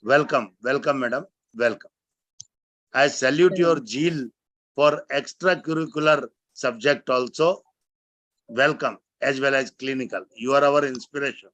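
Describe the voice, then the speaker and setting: A man hosting an online webinar, warmly welcoming speakers and repeating his phrases. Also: mid-range voice, a little slow, no room sound, highs cut off. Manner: effusive, repeats words. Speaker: webinar host. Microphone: video call.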